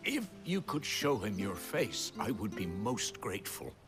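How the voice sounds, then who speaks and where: Earnest petitioner, swooping intonation. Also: male voice, lowish voice, very animated, measured pace. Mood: earnest, respectful. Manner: deferential, earnest. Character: earnest, respectful man pleading a favour. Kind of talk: video game cutscene acting. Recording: music behind the voice.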